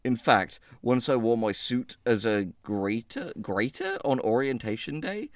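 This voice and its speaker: A man's voice: mid-range voice, sing-song, a little slow, dry recording. A man co-hosting a podcast with casual comedic banter and reading aloud, joking and irreverent. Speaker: podcast co-host.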